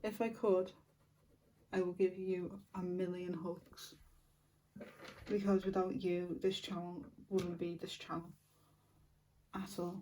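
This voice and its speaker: Slightly croaky woman chatting while colouring. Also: lowish voice, little pitch movement, slow pace, long pauses, recorded from a distance, slight background noise, low-quality recording. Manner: chatty, soft-spoken, thankful to viewers. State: losing her voice, a little croaky. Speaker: colouring channel host. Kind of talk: casual chat while colouring.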